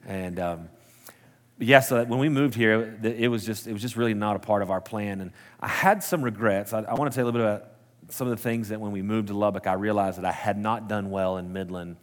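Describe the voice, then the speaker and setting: A man's voice: on the low side, a little fast, long reverb tail. A man, preaching a sermon in a casual, earnest way that restarts and corrects itself. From Texas. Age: 30s. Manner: conversational, self-correcting, earnest. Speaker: pastor. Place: church sanctuary.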